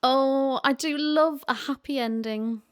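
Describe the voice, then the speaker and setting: A woman's voice: high-pitched, unhurried. A woman playing an eager young romantic in a radio play, full of keen questions. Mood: eager, delighted.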